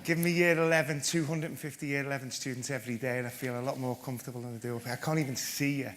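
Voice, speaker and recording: A man's voice: mid-range voice, fairly even intonation, racing, fairly bright tone, slight background noise. A man giving a conference talk, telling his own story in a blunt, self-deprecating and joking way. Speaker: panel speaker, former PE teacher.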